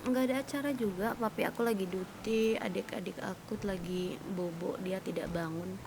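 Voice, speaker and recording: A woman chats casually as she plays a roleplay game. Her voice sits at a middle pitch and her manner is playful and colloquial, with frequent exclamations. There is clear background noise from the game. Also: fairly even intonation, normal speed. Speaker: streamer playing a roleplay game. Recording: clearly noisy game audio.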